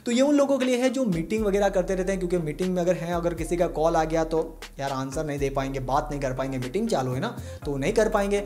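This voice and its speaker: A man's voice: on the high side, fast talker, hardly any pauses, a little mellow, faint music behind. A man hosting a smartphone tips tutorial in an informal, chatty way, talking to viewers like buddies. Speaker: tech tutorial host.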